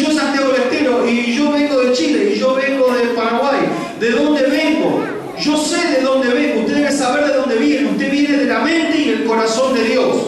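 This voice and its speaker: Brief: male preacher, fiery, repetitive, high-pitched, recorded from afar in a crowded hall. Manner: rhetorical questions, shouting and repetition. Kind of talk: fiery sermon. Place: large church hall with congregation. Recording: echoey hall with distant mic and crowd.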